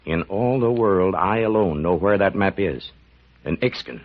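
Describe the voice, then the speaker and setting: A man's voice: deep voice, animated, unhurried. An actor playing a proud, stubborn older scientist and father in an old-time radio drama, defiant and declamatory. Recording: muffled vintage radio recording.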